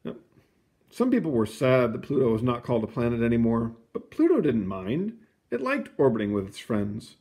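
A man reading a children's book aloud in an expressive, explanatory way, with casual asides. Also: mid-range voice, moderate pace. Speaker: picture book reader.

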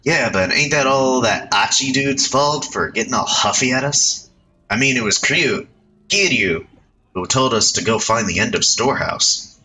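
A man's voice: mid-range voice, lively intonation, normal speed, bright, forceful tone, a little hiss or hum, pitched above the performer's own voice, low-quality recording. A man voicing a sulky young male delinquent in blue who whines in slang. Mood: defensive. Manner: huffy, whiny slang.

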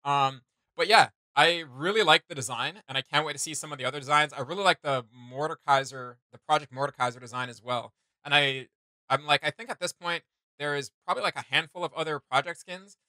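Male reaction host, thirties, forceful bright delivery, slangy and rambling, dry close sound. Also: fairly high pitch, a little fast, no echo. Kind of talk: casual reaction commentary. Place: small indoor home studio room.

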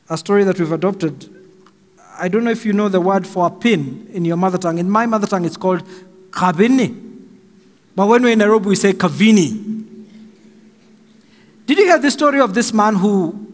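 A middle-aged Kenyan man preaching a slow, emphatic sermon from a pulpit stand mic in a large church hall. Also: high voice, lively intonation. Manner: slow and emphatic, raising his voice for stress. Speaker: pastor. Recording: long hall reverb, faint music.

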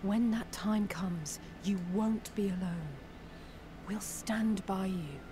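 Loyal young elf girl from a fantasy game, woman acting, soft breathy voice, super slow, noisy behind. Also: medium pitch, close to an everyday voice. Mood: solemn reassurance. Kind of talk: dramatic video game cutscene acting.

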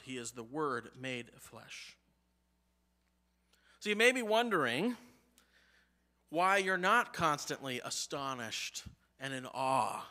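A man in his thirties with an American accent, preaching a sermon from a church pulpit. He lifts his voice on key phrases and lets line endings fall low and soft. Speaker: pastor. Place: church sanctuary, behind a pulpit. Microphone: pulpit mic, medium-wide camera.

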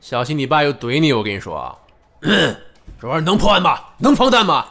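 A man's voice: fairly high pitch, very animated, moderate pace, vocal fry, bright, forceful tone, heavily acted. An actor playing a nosy, joshing male police colleague in an audio drama. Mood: teasing. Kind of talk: Chinese audio drama acting.

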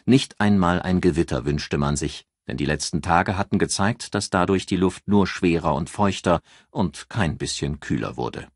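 A man giving scripted audiobook narration of a novel in a measured, careful storytelling manner. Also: deep voice, slightly rough, no echo, clean studio sound.

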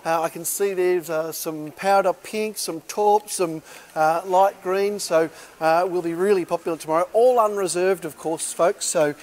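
Aussie auctioneer guy walking round a warehouse, chatty, lots of uh's, bit high pitched. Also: normal speed, a little mellow. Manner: chatty and upbeat, many "uh" fillers, calls listeners folks. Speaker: auctioneer giving a preview walkthrough. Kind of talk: casual walk-and-talk sales tour. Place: large indoor warehouse. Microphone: handheld camera while walking.

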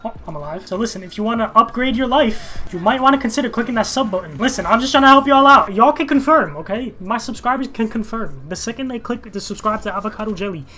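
A man's voice: extremely high pitch, animated, quick pace, few pauses, bright, forceful tone. A young man chatting casually over his own gameplay, rambling off topic and joking, swearing freely, talking into a gaming headset in his bedroom. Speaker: gaming streamer.

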